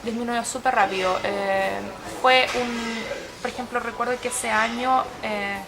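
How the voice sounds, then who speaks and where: Young woman, Chilean Spanish accent, Swedish first language, slightly higher pitch, hesitant interviewee. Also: steady tone, a little slow, poor audio. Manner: thoughtful, with frequent hesitations. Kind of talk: casual interview.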